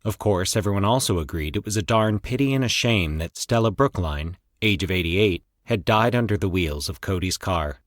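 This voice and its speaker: A man with a low voice reads scripted horror fiction as a podcast narrator. His delivery is measured and theatrical, with lively rises and falls, recorded dry with no room sound. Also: dry recording.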